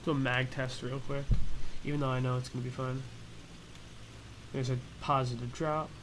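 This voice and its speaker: Casual man explaining over clear background noise. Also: mid-range voice, level tone, moderate pace. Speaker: gaming tutorial host. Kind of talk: casual flight simulator tutorial commentary.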